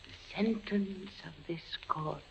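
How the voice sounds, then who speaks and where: An actor playing a stern, solemn judge of the Inquisition in a radio horror play, speaking in measured, formal, ominous pronouncements. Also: male voice, high voice, gravelly, heavy background noise, exaggerated voice. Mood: solemn menace. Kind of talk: old-time radio horror acting. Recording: dull lo-fi radio recording, music behind.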